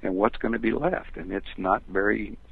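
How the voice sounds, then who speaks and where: Gravelly older American man on the phone. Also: lowish voice, fairly even intonation, normal speed. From United States. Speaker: phone interview guest. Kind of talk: conversational opinion talk. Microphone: telephone. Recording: thin, phone-like telephone line.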